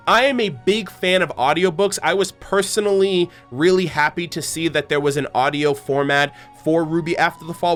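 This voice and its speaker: A man's voice: a little high, fairly bright tone. A man giving casual, enthusiastic, rambling fan commentary as a channel host. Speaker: host of a fan channel about an animated series.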